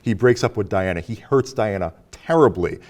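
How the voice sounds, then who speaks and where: A man's voice: on the low side, animated, normal speed, a little mellow. A man hosting a casual film discussion, posing questions in a conversational way. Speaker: show host. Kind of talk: casual interview and film chat.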